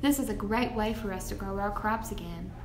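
A woman's voice: medium pitch, a little fast, lightly acted. A young woman playing a plucky teenage farm girl, telling her story in a folksy way straight to listeners. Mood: troubled. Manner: folksy, addressing listeners directly. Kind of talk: scripted first-person storytelling. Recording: echoey room, faint background noise.

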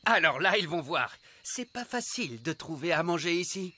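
A man's voice: a little high, big pitch swings, normal speed, bright, forceful tone, exaggerated voice. A man playing an earnest, easily exasperated elf father who leads scouts, speaking emphatically and instructively in a children's cartoon. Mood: insistent, instructive. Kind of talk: animated children's cartoon dub acting.

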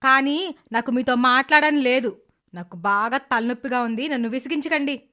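A woman playing a cruel, scheming middle-aged stepmother in an animated moral story, scornful and sarcastic. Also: sits high, normal speed. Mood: angry, defiant.

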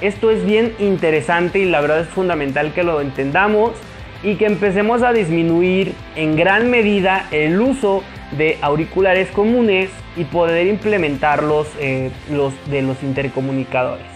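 Young man, Mexican Spanish accent, high-pitched, upbeat host, faint music behind. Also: normal speed. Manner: upbeat, eager interviewer. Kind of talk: product review chat. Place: motorcycle gear shop, indoors.

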